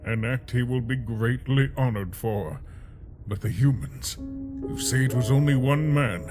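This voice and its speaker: A man playing a weary, solemn monster king who speaks formally and deliberately in a dramatic comic dub. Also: mid-range voice, darkish tone, natural voice. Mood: troubled questioning. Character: grave, weary monster king burdened by his people's fate. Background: background music.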